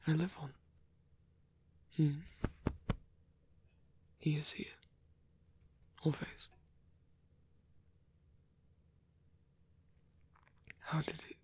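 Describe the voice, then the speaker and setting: Slow, whispery old vampire, acted by an ASMR performer. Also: mid-range voice, a little mellow, full character voice. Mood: tender, gentle. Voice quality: breathy, whispery, husky. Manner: slow, halting, tender delivery. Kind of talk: ASMR roleplay monologue.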